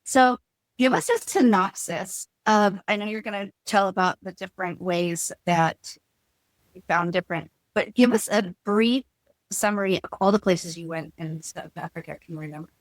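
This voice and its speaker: A woman hosts a relaxed chat and keeps nudging her guests along with lively questions. The sound is dry and close. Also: mid-range voice, moderate pace, no room sound, poor audio. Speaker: host. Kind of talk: casual interview chat.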